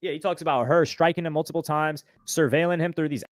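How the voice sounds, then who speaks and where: A man reads court papers aloud fast, then breaks off to comment casually. His voice sits at a middle pitch. The recording is dry and close. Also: fairly even intonation, no echo. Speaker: streamer reacting to court filing.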